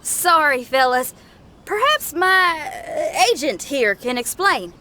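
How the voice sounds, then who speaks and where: An actress playing an upbeat, tenacious young rock musician in a game cutscene, earnest and folksy. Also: female voice, sits high, big pitch swings, slow, noisy background, heavily acted. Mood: upbeat, resilient. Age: young adult. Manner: folksy, plain-spoken, earnest. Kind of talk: animated game cutscene voice acting.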